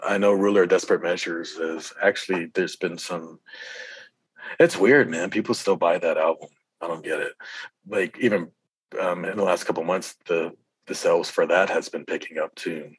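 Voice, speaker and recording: A middle-aged man in casual interview conversation, speaking as a guest with halting, laid-back, slangy phrasing. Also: low-pitched, fairly even intonation, breathy edge, no echo, poor audio. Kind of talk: casual chat.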